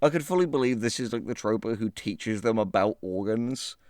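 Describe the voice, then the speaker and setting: A man's voice: fairly low pitch, lively intonation, brisk, a little mellow, no room sound. Guy cohosting a jokey chat show, swears a lot, reads stuff out, super dry sound. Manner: sweary, joking. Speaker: show host reading out web entries. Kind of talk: casual comedic chat with read-aloud bits.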